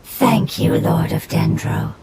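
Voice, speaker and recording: A woman voicing a solemn, gentle spirit in a video game, speaking in a measured, reverent way. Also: very noisy, lightly acted. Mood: grateful.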